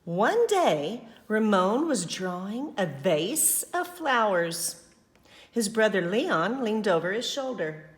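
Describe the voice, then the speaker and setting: A woman teacher reading a storybook aloud to children, expressive, with simple explanatory asides. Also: mid-range voice, takes it slowly.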